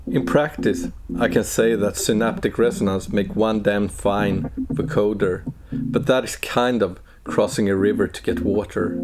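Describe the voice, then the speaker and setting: A man in his forties narrating a scripted technical walkthrough in a measured, dry, understated way. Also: on the low side, steady tone. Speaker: plugin developer presenting walkthrough.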